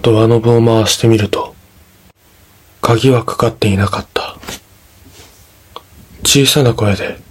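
A man narrating a scripted ghost story in a measured, dramatic storytelling manner. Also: lowish voice, fairly even intonation, husky.

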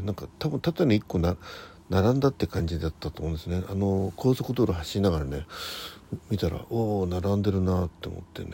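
Male host, 60s, deep mellow voice, rambling informal morning chat with muttered asides. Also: creaky, slight background noise. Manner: halting, rambling, muttering to himself and correcting himself. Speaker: host of a morning audio diary show. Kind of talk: casual solo radio chat.

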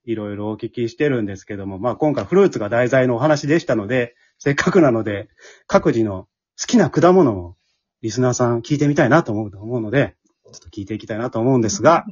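A man hosting a casual chat, chatty and quick to respond to the others. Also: mid-range voice, animated, husky, dull audio. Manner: chatty, backchannels often, laughs readily. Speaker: host and MC of an after-talk.

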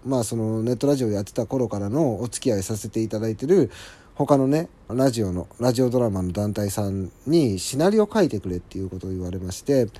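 A man's voice: medium pitch, dark tone. A man hosting a solo show, giving a casual personal update to listeners in a polite, conversational manner. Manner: polite, apologetic, conversational with frequent agreeing asides. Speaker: solo audio show host.

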